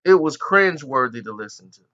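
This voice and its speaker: A man's voice: on the high side, lively intonation, brisk, fairly bright tone, muffled recording. A man giving indignant, emphatic commentary on an interview clip. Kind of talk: reaction commentary.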